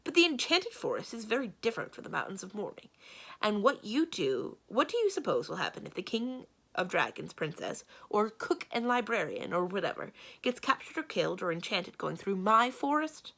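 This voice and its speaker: Exasperated, animated storybook king read by a woman. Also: mid-range voice, normal speed, creaky, full character voice. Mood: concerned. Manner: emphatic, objecting. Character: proud young forest king, exasperated and protective.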